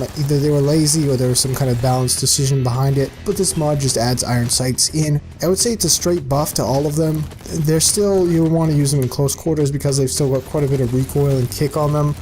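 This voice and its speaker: A man's voice: mid-range voice, a little mellow, slight background noise, low-quality recording. A man hosting a casual gaming video, talking informally and in a rambling way as he presents and comments. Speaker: video host presenting game mods. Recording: music playing behind the voice. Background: music.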